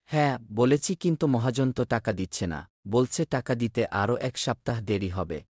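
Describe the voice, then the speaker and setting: A man's voice: mid-range voice, expressive, normal speed, a little mellow, no echo, studio quality, natural voice. A man playing a humble, troubled poor village father in a cartoon, meek and apologetic.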